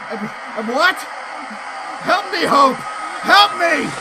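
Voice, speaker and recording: A woman's voice: extremely high pitch, sing-song, slow, background noise, exaggerated voice. A woman voicing a frail, frightened young boy unicorn with strained, plaintive delivery in a dramatic cartoon dub. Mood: panicked.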